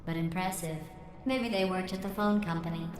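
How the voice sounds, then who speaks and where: A deadpan, cruel robot AI. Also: female voice, fairly low pitch, normal speed, music in the background. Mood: falsely sorry. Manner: deadpan, mockingly sweet cruelty. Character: cold sarcastic female AI running a test facility. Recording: echoey game audio with noise.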